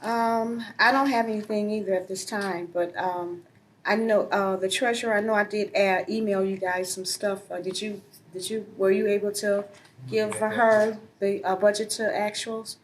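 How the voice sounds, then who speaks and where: A woman chairing a city council meeting, calling on members in a brisk, conversational way. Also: mid-range voice, steady tone, a little hiss or hum.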